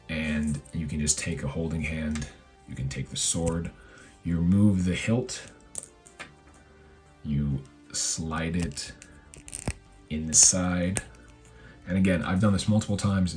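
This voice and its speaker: Very deep-voiced, hoarse man reviewing toys. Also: a little mellow. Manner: rambling, filler-heavy, self-correcting. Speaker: toy reviewer. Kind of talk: casual product review.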